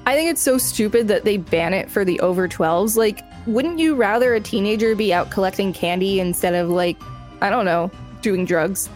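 A woman's voice: medium pitch, normal speed, a little mellow, faint music behind. A woman co-hosting a podcast, reading an article aloud and chatting casually. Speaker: podcast co-host.

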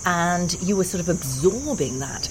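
Deep-voiced woman, lively podcast host. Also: measured pace, background noise. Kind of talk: podcast narration and interviewing.

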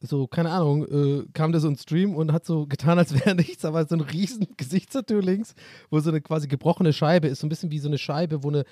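A male podcast co-host chats casually, wandering through his stories and laughing often. His voice sits fairly high for a man. He is close to the mic, and the sound is dry. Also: a little fast, warm tone, no echo. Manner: joking, rambling, laughs often. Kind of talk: casual chat.

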